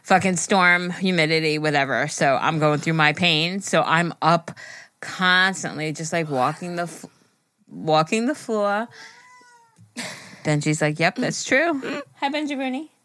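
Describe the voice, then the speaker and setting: A middle-aged woman co-hosting a podcast, chatting casually in a teasing, animated way and laughing a lot. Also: low-pitched, measured pace, no room sound, clean studio sound. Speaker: podcast co-host, mother of the other host's partner. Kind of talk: casual chat.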